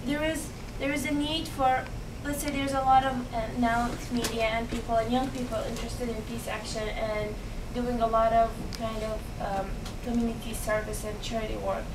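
Young Iraqi woman, English, earnest and halting, slightly high voice; distant camera audio in a noisy, roomy meeting room. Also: little pitch movement. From Iraq. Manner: earnest, halting, many restarts and fillers. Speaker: guest speaker. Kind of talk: unscripted talk to a meeting. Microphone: far camera.

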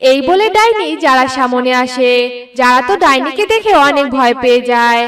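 A woman's voice: high voice, animated, moderate pace, a bit bright, smooth, distant mic. A woman reading scripted narration for a children's story, recorded a little away from the mic.

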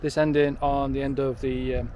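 A man's voice: mid-range voice, little pitch movement, moderate pace, dark tone, slight background noise. A man in his forties vlogging to a handheld camera outdoors, rambling and correcting himself in an upbeat way. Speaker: drone vlogger. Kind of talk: casual vlog to camera. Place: outdoors by a railway station, windy. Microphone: handheld camera at arm's length.

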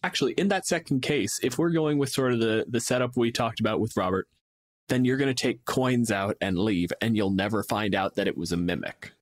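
A man's voice: on the low side, fairly quick, no room sound, clean studio sound. A man co-hosting a podcast, chatting casually and bluntly with plenty of swearing as he argues his opinions. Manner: blunt, profane. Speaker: podcast co-host and game master. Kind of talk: casual opinionated debate chat.

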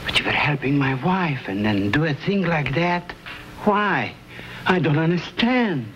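An actor playing a humble, earnest middle-aged settler in a 1950s television western. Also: male voice, a little high, lively intonation, a little slow, fairly bright tone. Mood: bewildered. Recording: noisy old TV soundtrack.

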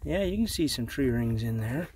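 A man's voice: medium pitch, animated, moderate pace, noisy background. A middle-aged man chatting casually while he hikes an outdoor trail, pointing things out and easily impressed. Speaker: vlogger. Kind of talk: casual hiking commentary. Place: outdoors on a rocky desert trail.

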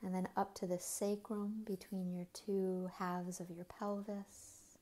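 A woman leading a guided body scan meditation in a gentle, unhurried manner, with soft, breathy phrase endings and long pauses between short phrases. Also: mid-range voice, little pitch movement.

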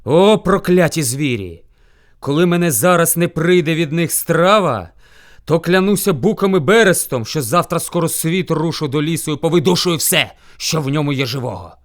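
Furious bear, hungry forest bully, roaring threats, folk tale, very animated delivery. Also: male voice, high voice, normal speed, voice pushed up. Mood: angry, hungry. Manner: roaring, threatening, bellowing demands.

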